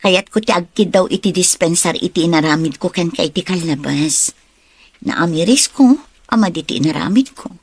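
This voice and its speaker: A woman's voice: on the low side, normal speed, husky. An actress playing a scheming but remorseful young woman in an emotional Ilocano radio drama. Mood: pressing.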